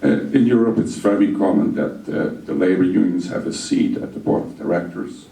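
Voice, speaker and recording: Gravelly Dutch-accented man, dark voice. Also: sits low, normal speed. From Netherlands. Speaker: audience member. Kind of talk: meeting discussion. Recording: roomy hall, distant mic, background noise.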